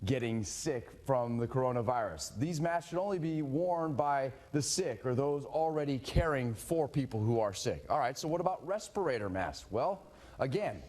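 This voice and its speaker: A man's voice: medium pitch, animated, normal speed, darkish tone. Male TV news anchor, plain-spoken. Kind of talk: broadcast news presentation.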